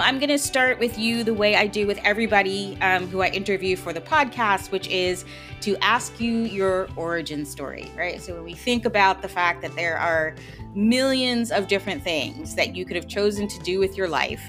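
An American woman, a podcast host and academic dean, asking measured, reflective questions in a conversational interview style. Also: mid-range voice, a bit bright, faint music behind.

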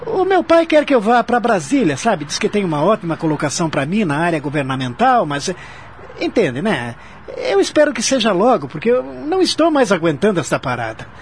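A man's voice: high voice, very animated, fairly quick, a little hiss or hum. An actor playing an arrogant businessman in a Brazilian radio soap, speaking with a Brazilian accent and many tag questions. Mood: restless, complaining. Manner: haughty, hedging with tag questions and filler words. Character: arrogant, haughty businessman worn out by failed deals. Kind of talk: radio soap opera acting.

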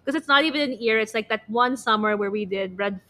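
A woman's voice: medium pitch, fast, bright, forceful tone. A young Filipino woman co-hosting a casual podcast, chatting in a rambling way peppered with "like". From Philippines. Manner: chatty, rambling, heavy use of "like". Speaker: podcast co-host. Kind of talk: casual chat about pop music.

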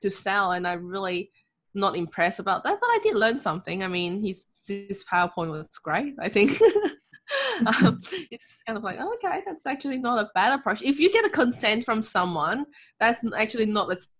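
Hong Kong-accented woman guest. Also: medium pitch. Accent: Hong Kong English. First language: Cantonese. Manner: animated, laughs often, pauses with ums. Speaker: guest, author and confidence coach. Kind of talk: casual interview talk.

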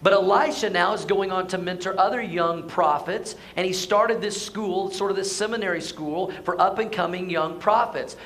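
This is a man giving a Sunday sermon to his congregation. His voice is hoarse and sits high, and he keeps the tone chatty. He often asks his listeners to answer back. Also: a bit bright. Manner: conversational, asks the congregation to respond. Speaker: pastor. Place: church sanctuary.

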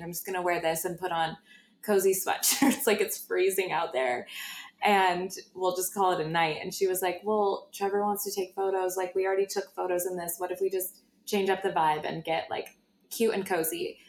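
A woman hosting a podcast, telling a personal story in a casual, gushing and emphatic way. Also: fairly low pitch. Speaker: podcast host. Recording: roomy, echoey sound.

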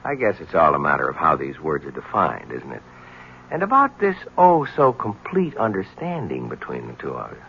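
Cynical reporter, seventies radio play. Forceful, swooping delivery, persistent and wry, muffled vintage sound. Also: male voice, rumbling low, huge pitch swings, moderate pace, vocal fry, full character voice. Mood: wry, insistent. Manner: wry, bantering, insistent. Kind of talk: 1970s radio drama acting. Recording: noisy, dull 1970s radio recording.

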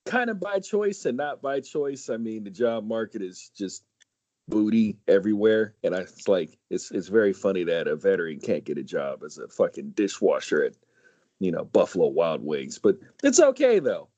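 Laid-back guy in his 30s, low voice that jumps way up when he gets going, cusses a lot, dry sound. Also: moderate pace, no room sound, dull audio. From San Diego, USA. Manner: swears freely, jumps high in pitch when animated. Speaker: podcast guest. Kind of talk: casual chat.

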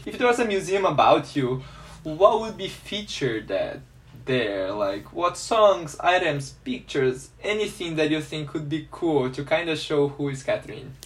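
A man's voice: fairly high pitch, animated, unhurried. A man hosting a podcast interview, friendly and playful, with some hesitant restarts. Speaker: podcast host.

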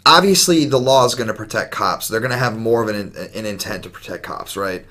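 A man's voice: on the low side, a little fast, bright, forceful tone. Rambling, profane man chatting casually. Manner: rambling, profane, heavy use of "like". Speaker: podcast co-host. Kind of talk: casual podcast chat.

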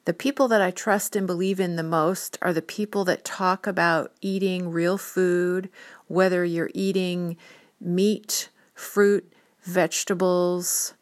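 A woman's voice: lowish voice, fairly even intonation, slow. A woman in her fifties, an art model, talking earnestly in a rambling casual monologue, listing things one by one. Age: 50s.

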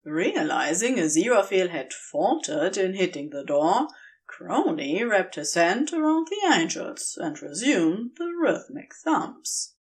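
Female fiction reader, slow and deliberate, with dramatic, animated swings in delivery. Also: on the low side. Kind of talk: expressive scripted story narration.

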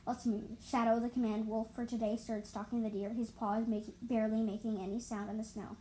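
A woman with a fairly high voice narrates animal stories in an amateur way. She stumbles, repeats herself and steps out to explain. There is audible background noise on the recording. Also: little pitch movement, mellow, low-quality recording. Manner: halting, stumbles and repeats words. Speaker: storyteller reading own animal stories.

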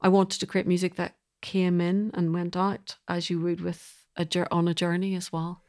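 A woman's voice: on the low side, flat delivery, normal speed, a little mellow. A woman talking as an interview guest about her creative work, thoughtful and emphatic, feeling her way through sentences. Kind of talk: reflective interview conversation.

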